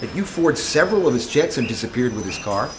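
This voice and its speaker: A man's voice: mid-range voice, big pitch swings, fast talker, natural voice. An actor playing a formal, probing male 1920s radio reporter on location, pressing a suspect. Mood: accusing, probing. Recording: noisy station ambience behind voice.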